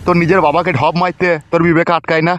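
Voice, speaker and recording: A man's voice: a little high, little pitch movement, racing, fairly bright tone, lo-fi sound, faint music behind, natural voice. A man playing a coarse, scolding, suspicious middle-aged village father in a comedy cartoon. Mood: irritated.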